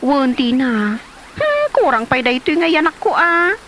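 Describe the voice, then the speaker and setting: A woman's voice: high voice, sing-song, a little slow, noisy background. A woman playing a stubborn, playful pregnant wife in an Ilocano comedy drama, insistent and wheedling, with an Ilocano Filipino accent. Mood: stubborn, cheerful. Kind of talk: Ilocano comedy radio-style drama acting.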